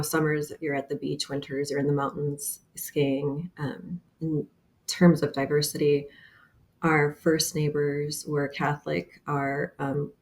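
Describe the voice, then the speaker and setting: A woman in her thirties with a Bosnian accent speaks as an interview guest over a remote call. Her voice sits low and carries a gravelly fry. She talks earnestly, pausing on fillers as she goes. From Bosnia and Herzegovina. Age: 30s. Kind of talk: casual interview.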